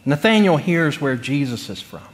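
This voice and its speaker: Animated man preaching. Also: on the high side. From North Carolina, United States. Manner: conversational, humorous, with deliberate pauses. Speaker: pastor. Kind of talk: sermon with personal storytelling. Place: church sanctuary, speaking from the pulpit.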